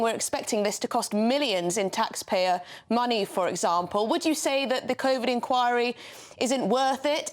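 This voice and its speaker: A woman presenting a broadcast interview, putting structured questions to a guest. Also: on the high side.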